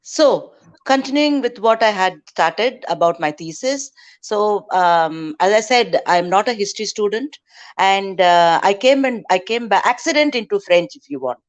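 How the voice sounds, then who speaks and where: A woman's voice: mid-range voice, animated, moderate pace. A middle-aged woman teaching French students in an online lecture, quizzing them, laughing often and hesitating with fillers. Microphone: video call. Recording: dull lo-fi call audio.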